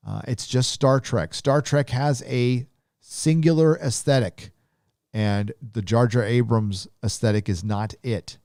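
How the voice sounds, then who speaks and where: A man's voice: medium pitch, unhurried, darkish tone, dry recording, studio quality. A man from Southern California with a Southern Californian drawl, hosting a live stream in rambling casual chat full of fillers. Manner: rambling, laid-back drawl with many fillers.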